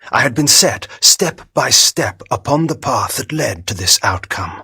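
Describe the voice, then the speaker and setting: An actor playing a proud, cunning vampire noble in a video game, with a theatrical, formal and imperious manner. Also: male voice, lowish voice, sharp tone, slightly rough, exaggerated voice. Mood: brooding, shaken. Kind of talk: dramatic video game cutscene acting.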